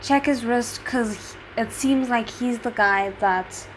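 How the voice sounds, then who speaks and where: A woman's voice: on the high side, slow. A woman giving spontaneous reaction commentary as the host of a drama series reaction video. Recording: clearly noisy background.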